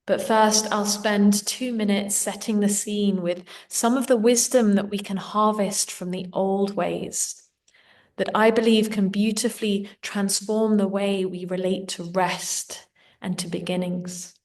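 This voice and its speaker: A woman leading a guided meditation in a gentle, soothing, unhurried manner. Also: medium pitch, fairly even intonation, distant mic. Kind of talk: slow guided meditation narration.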